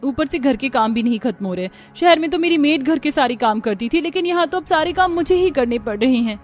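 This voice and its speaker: Woman playing a high-voiced haughty city daughter. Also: lively intonation, a little fast, soft background music. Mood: haughty, annoyed. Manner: boastful, complaining. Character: haughty, spoiled city daughter, grumbling about village life.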